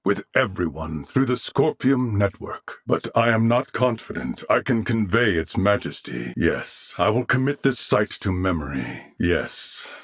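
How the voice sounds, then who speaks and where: Slow, courtly, honorable swordsman. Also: sits low, husky, no room sound, studio quality, exaggerated voice. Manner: formal, archaic, measured diction. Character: courteous, honorable swordsman, calm, earnest, formal. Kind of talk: scripted video game character dialogue.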